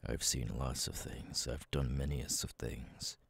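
A man acting out a brooding, battle-hardened army captain for an ASMR roleplay. His voice is extremely deep and husky, with slow, deliberate phrasing. It is recorded dry and intimate. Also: level tone, fairly bright tone, dry recording, full character voice. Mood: steady, reassuring. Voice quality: husky, breathy. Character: stoic, battle-hardened male military captain, brooding and vengeful. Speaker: voice actor, ASMR artist. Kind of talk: ASMR audio roleplay, dramatic monologue.

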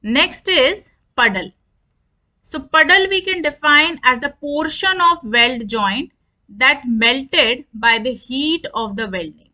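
A woman giving an instructional lecture, explaining terms deliberately and repeating her definitions. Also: high-pitched, bright, forceful tone, poor audio.

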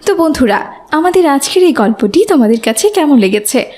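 A woman's voice: high voice, sing-song, a little fast, warm tone. A woman reading scripted narration for a children's cartoon story.

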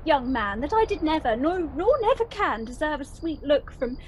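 A woman's voice: sits high, sing-song, moderate pace, slight background noise. A woman acting a lovesick, self-pitying young noblewoman in a theatrical Shakespearean radio play. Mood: wounded indignation. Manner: theatrical, indignant, swooping.

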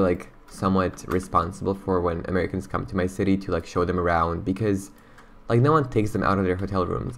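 Deep gravelly young man chatting casually. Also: a little hiss or hum. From Romania. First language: Romanian. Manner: chatty, rambling, says "bro" and "like" a lot. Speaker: gaming channel host. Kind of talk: casual gameplay commentary and chat.

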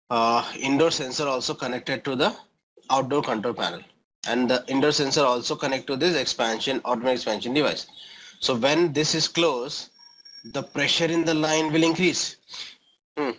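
A man's voice: mid-range voice, steady tone, moderate pace, a bit bright, low-quality recording. A middle-aged man with an Indian accent giving an explanatory lecture as an engineering instructor, often checking understanding with short questions and tags.

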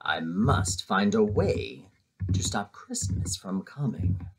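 A man's voice: fairly low pitch, lively intonation, slow pace, slightly rough, voice pushed down, exaggerated voice. A man voicing a sour, scheming middle-aged monster in a snarling, growling storybook read-aloud voice. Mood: bitter, irritated.

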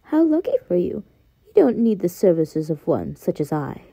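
A woman voicing a drowsy, cryptic bug confessor from a video game, mysterious and measured. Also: fairly low pitch, sing-song, creaky, voice pushed down, low-quality recording. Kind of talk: fan dub of video game dialogue.

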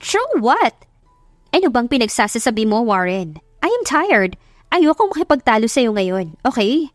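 A woman's voice: fairly high pitch, sing-song, normal speed, no room sound, studio quality, faint music behind. A woman voicing a rich, irritable, evasive young girlfriend in an audio drama, with a snappy manner. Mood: irritated, angry. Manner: snappy, mixes in English. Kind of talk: Tagalog audio drama acting.

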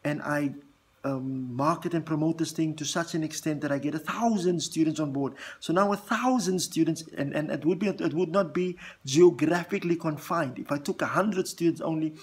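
A man's voice: fairly high pitch, normal speed, husky. A South African man in his forties, whose first language is Afrikaans, talking straight to the camera in an earnest, rambling way with lots of fillers and restarts, filmed up close indoors. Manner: earnest, thinks aloud with many fillers and restarts. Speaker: vlog host. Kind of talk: casual direct-to-camera talk.

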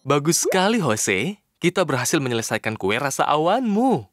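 A man voicing a patient, sensible, caring helper in a children's cartoon, gently admonishing and expressive. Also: fairly high pitch, normal speed. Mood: reassuring. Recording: studio voice over cartoon music.

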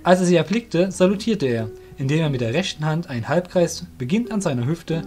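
A man's voice: on the high side, expressive, fairly quick, a little mellow, soft background music. A man narrating a fantasy audio drama in a scripted storytelling style.

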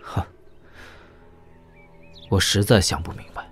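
An actor playing a young princely heir in an audio drama, wry and coolly questioning, speaking in a measured, conversational way. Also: male voice, faint music behind, natural voice. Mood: cool, reproachful.

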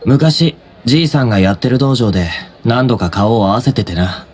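A man playing a blunt, laid-back teenage high school boy in a Japanese anime game, with casual, gruff, slangy phrasing. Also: expressive, moderate pace, darkish tone, lightly acted. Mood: offhand.

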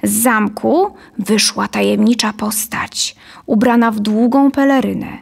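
A woman's voice: on the high side, a little slow, gravelly, slightly rough. A woman in her thirties reading a scripted story as narrator, close to a studio microphone. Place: dark small studio, indoors. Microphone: studio condenser on boom, close.

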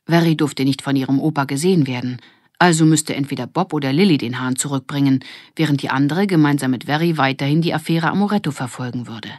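Female audiobook narrator, deep register, children's story reading, very dry recording. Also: fast talker, darkish tone, no echo.

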